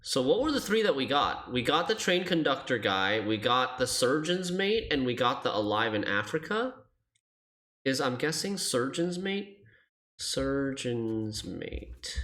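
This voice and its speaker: A streamer giving live gameplay commentary, thinking aloud in an animated, self-deprecating way. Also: medium pitch.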